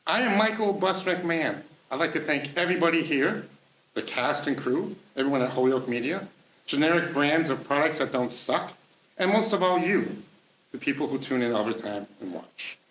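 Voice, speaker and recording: A man's voice: a little high, lively intonation, moderate pace, fairly bright tone, roomy sound, slight background noise, poor audio. A man hosting the show, giving a jokey, folksy closing sign-off. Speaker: show host.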